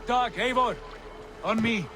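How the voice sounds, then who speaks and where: An actor playing a proud, commanding Viking jarl in a video game, speaking in a stately, declamatory way. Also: male voice, extremely high pitch, slow, music in the background. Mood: defiant, commanding. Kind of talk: cinematic video game acting.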